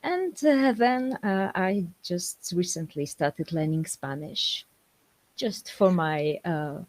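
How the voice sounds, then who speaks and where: A young woman from Poland speaking English with a Polish accent in a casual interview, pausing often with fillers. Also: on the low side, lively intonation, darkish tone, no room sound. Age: young adult. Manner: hesitant, many fillers, laughs between answers. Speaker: guest scientist.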